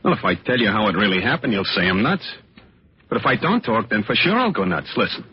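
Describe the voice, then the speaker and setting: A man's voice: lowish voice, very animated, fast talker, husky, full character voice. An actor playing a jittery, slangy American salesman telling his story in a 1940s radio noir drama. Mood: haunted, agitated. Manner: agitated, slangy, tough-talking. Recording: dull vintage radio recording.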